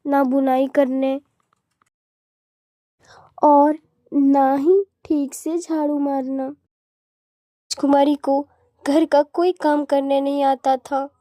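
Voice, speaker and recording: A woman's voice: sits high, level tone, drawn-out words, long pauses, mellow, dry recording, clean studio sound. A woman narrating a scripted children's fairy tale.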